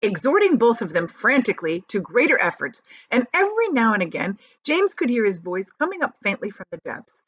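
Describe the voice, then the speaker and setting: A woman teacher reading a children's book aloud and pausing to explain it. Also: on the high side, very animated, a bit bright, husky, no echo. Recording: dull, lo-fi audio.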